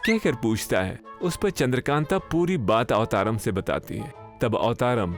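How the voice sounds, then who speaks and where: A man's voice: medium pitch, lively intonation, measured pace. Male story narrator over music. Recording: background music under narration.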